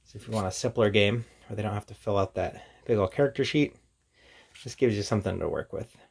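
A man's voice: fairly low pitch, quick pace, creaky, a little mellow, husky. A man giving a casual, off-the-cuff product review in an informal, chatty way as he presents. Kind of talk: casual unboxing and review chat.

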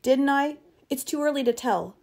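Storybook safari guide, male, played by a woman, hard-bitten, loud, commanding, quick to accuse. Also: medium pitch, close to an everyday voice. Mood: grim, threatening.

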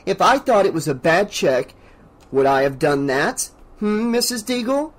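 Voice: medium pitch, big pitch swings, a little slow, pitched above the performer's own voice. A narrator voicing an earnest, put-upon young bank teller, a man with a defensive manner, in an audiobook. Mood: composed, challenging.

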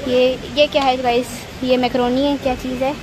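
A woman's voice: high voice, flat delivery, moderate pace, a crowd in the background, rough recording. A young girl chatting casually to her phone camera at a restaurant terrace, calling her viewers guys. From Pakistan. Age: child. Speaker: child vlogger. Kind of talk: casual vlog chat to camera. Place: large open-air restaurant terrace. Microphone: phone camera held close. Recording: loud background noise, restaurant terrace.

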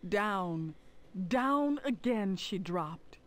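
A woman narrating a children's storybook in a dramatic, deliberate manner. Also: mid-range voice, expressive, background noise.

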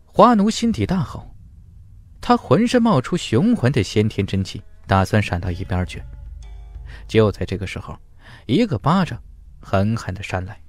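A man's voice: on the low side, very animated, normal speed, darkish tone, soft background music. A man narrating a fantasy audiobook from a script in a dramatic, emphatic storytelling style.

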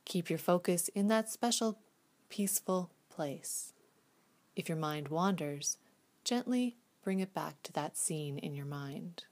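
A woman gently leading a guided visualization meditation in a soothing, hushed, unhurried manner. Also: on the low side, lively intonation.

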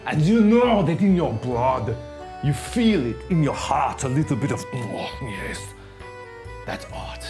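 A man's voice: mid-range voice, big pitch swings, measured pace, music in the background, voice pushed down. A man playing a pompous, theatrical French artist host, in a mock French accent with broken English. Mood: grandiose. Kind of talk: comedic art show hosting.

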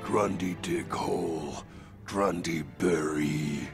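An actor playing a hulking, simple-minded zombie brute who bellows in broken grammar. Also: male voice, low-pitched, steady tone, slow, music in the background, full character voice. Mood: brutish. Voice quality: gravelly.

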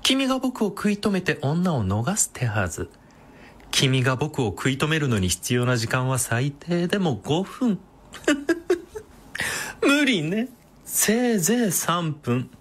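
An actor playing a vain, effeminate, sadistic knife-wielding villain in a radio drama, with mincing, taunting speech. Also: male voice, a little high, big pitch swings, slow, a bit bright, full character voice. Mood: menacing, taunting. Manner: campy, mincing, feminine phrasing.

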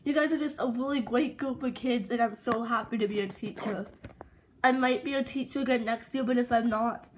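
Woman voicing a rambling upbeat male teacher, phone-recorded plush toy video, slightly high. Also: a little fast, slight background noise, natural voice, poor audio. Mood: warm. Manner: rambling, chatty with restarts.

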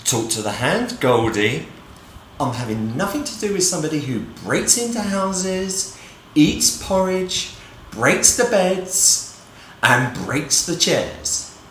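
A man's voice: fairly high pitch, very animated, a bit bright, full character voice. A middle-aged man playing a vain, heroic outlaw archer in a hammy, grandiose pantomime style. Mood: dismissive, boastful. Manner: grandiose, deliberate. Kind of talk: hammy children's pantomime acting. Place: indoor room, virtual background. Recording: echoey room with faint noise.

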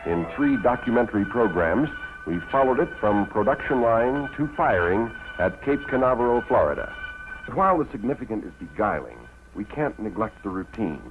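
A man's voice: lowish voice, expressive, moderate pace. Tinny vintage American male documentary narrator. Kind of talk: 1950s promotional documentary narration. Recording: tinny old film soundtrack, faint music.

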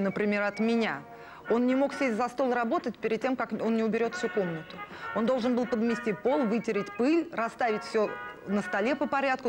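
A woman in her thirties telling personal memories expressively in a documentary interview. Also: mid-range voice. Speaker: artist, interviewee recalling family. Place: indoor studio room full of handmade puppets. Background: music.

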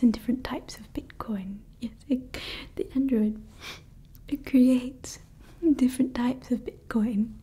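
A woman's voice: a little high, mellow, slightly rough. A young woman playing a haughty female evil scientist in an ASMR roleplay, whispering close to the mic, scolding her staff and fussing over her creation. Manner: mostly whispered, scolding and fussy. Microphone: close sensitive ASMR mic.

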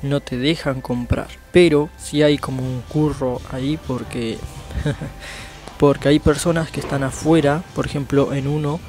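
A man's voice: medium pitch, steady tone, measured pace, a little mellow, soft background music. A man in his thirties with a Uruguayan accent, talking casually to viewers while he walks around town and through shops. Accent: Uruguayan Spanish. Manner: chatty, addresses viewers as "gente". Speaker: travel vlogger. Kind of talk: casual walking vlog. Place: city streets and inside shops. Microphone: action camera held close.

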